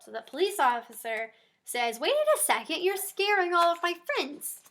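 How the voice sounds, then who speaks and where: A woman reading a story aloud to young children in an expressive, encouraging manner, pausing to chat with the listeners. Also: very high-pitched. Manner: animated, encouraging, asks listeners simple questions.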